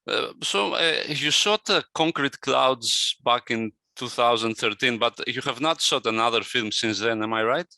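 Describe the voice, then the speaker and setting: Male interviewer, short questions, soft murmurs, dry close sound. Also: medium pitch, measured pace, vocal fry, hardly any pauses, no room sound.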